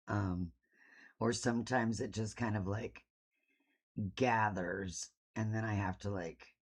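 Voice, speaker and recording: A woman's voice: rumbling low, a little mellow, breathy edge. A woman hosting a casual livestream, a bit sniffly from allergies, rambling informally with self-deprecating asides. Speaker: livestream host, art hobbyist.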